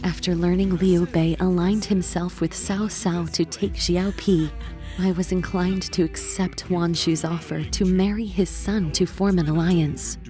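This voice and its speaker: A woman's voice: lowish voice, fairly even intonation, a little slow, mellow, faint music behind, lightly acted. A woman playing a solemn, dutiful, grieving young warrior in a fan motion comic, with restrained delivery. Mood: sombre, grieving. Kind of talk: fan motion comic acting, first-person narration.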